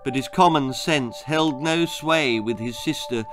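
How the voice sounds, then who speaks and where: A man in his sixties reads a children's tale in a theatrical, expressive and deliberate way. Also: mid-range voice, music in the background. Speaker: author reading his own stories. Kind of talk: dramatic children's storytelling, scripted narration. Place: small book-lined room indoors.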